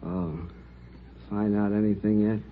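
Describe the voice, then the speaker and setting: Low-voiced, sheepish crime victim played by an actor. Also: male voice, fairly even intonation, a little slow, close to an everyday voice. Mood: weary. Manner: hesitant, sheepish, colloquial. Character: beaten male robbery victim, sheepish, in hospital bed. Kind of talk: 1950s radio crime drama acting. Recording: muffled, noisy 1950s radio recording.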